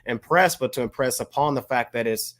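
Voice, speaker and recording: An American man co-hosting a podcast, giving casual shout-outs in an earnest, measured way that mixes formal phrasing with slang. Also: mid-range voice. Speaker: podcast co-host.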